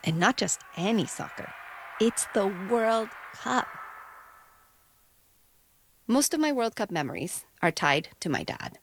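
A woman's voice: mid-range voice, very animated, unhurried, long pauses, a little hiss or hum. A woman in her forties from Mexico, telling a personal story as a radio host in an intimate, reflective way. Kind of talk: scripted personal narration. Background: faint music.

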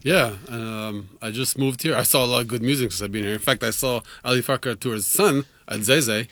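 A man's voice: medium pitch, brisk, a bit bright, no room sound. A man in his forties whose first language is French, talking casually and a little rambling as a musician guest in a radio studio, laughing often. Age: 40s. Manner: chatty, laughing, rambling with fillers.

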